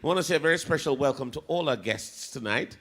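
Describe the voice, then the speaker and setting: A man's voice: fairly high pitch, lively intonation, fast, a bit bright. A Jamaican man in his forties hosting a radio entertainment show in a studio, joking in casual patois banter into a handheld mic.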